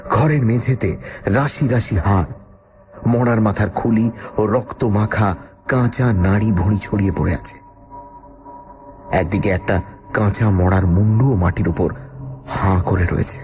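A man's voice: on the low side, measured pace, pauses often, natural voice. An actor playing a male writer who tells his own haunted-house ordeal as dramatic, suspenseful horror narration. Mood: fearful, suspenseful. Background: music.

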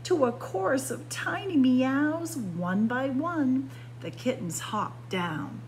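A woman's voice: high-pitched, expressive, slow, slight background noise. A woman reading a children's picture book aloud like a story-time librarian, expressively, with dramatic emphasis on key words.

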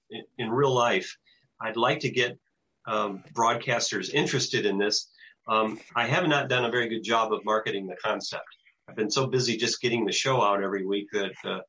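Older American man, husky, low-pitched, halting informal presenter, dull lo-fi video call sound. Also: sharp tone. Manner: halting, hesitant delivery. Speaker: presenter, radio show producer. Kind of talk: informal presentation and question-and-answer. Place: small home office with bookshelves, indoors. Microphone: computer mic on a video call.

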